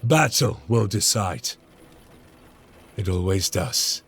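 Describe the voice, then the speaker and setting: Gravelly grim space marine sergeant. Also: male voice, low-pitched, slow, a little hiss or hum, exaggerated voice. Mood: stern. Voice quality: gravelly, husky. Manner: brooding, stern commands. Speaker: sergeant narrator. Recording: game cutscene with music.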